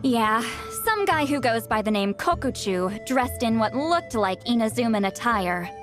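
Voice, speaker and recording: Female, game role: brusque, world-weary elder spirit master, higher-pitched, dry delivery, light music under. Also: lively intonation, a little slow. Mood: weary, wry. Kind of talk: scripted video game dialogue.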